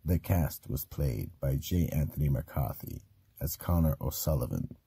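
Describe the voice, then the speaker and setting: A man narrating a scripted radio mystery as an ominous, deliberate storyteller. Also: rumbling low, animated, creaky, mellow, husky, no echo.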